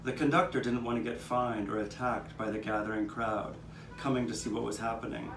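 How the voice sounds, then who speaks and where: A man's voice: medium pitch, gravelly. A man performing first-person historical storytelling as the narrator of a live puppet show, playing a neighborhood eyewitness. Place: outdoor courtyard performance. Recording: roomy, distant, noisy live courtyard recording.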